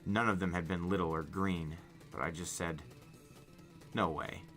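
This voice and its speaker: Male storyteller for novel narration. Slightly low, forceful, lively delivery over soft music. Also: moderate pace. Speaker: podcast host reading a novel. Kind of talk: animated audiobook-style first-person narration.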